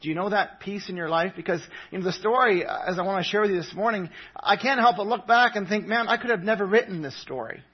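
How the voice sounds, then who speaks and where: A man's voice: high voice, fast, few pauses, breathy edge, dull audio. A man who grew up in Papua New Guinea, a visiting missionary giving an earnest, conversational sermon in a church with many fillers and restarts.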